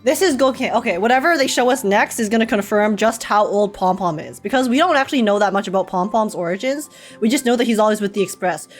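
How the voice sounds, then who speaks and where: A giggly, excitable woman giving casual reaction commentary as she plays a game. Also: medium pitch, fast, soft background music.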